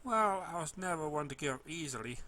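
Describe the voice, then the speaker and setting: Bright-voiced man narrating a story. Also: on the high side, lively intonation, brisk. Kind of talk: expressive first-person fan fiction narration.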